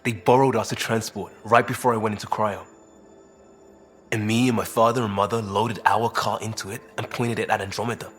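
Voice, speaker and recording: A man's voice: lowish voice, steady tone, fairly quick, fairly bright tone, lightly acted. An actor playing an idealistic, restless young British crewman in a video game, speaking in clipped informal phrases. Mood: sentimental. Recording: game dialogue over faint music.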